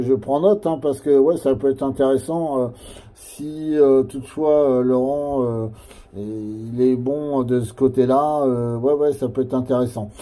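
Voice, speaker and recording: A man chatting casually as an amateur radio operator, in a hesitant, halting way that often repeats phrases. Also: mid-range voice, dark tone.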